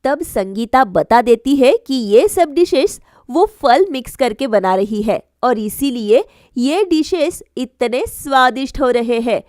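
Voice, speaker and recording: A woman's voice: high-pitched, animated, slow pace, hardly any pauses, darkish tone, no room sound, clean studio sound. A woman narrating a scripted bedtime story for a cartoon.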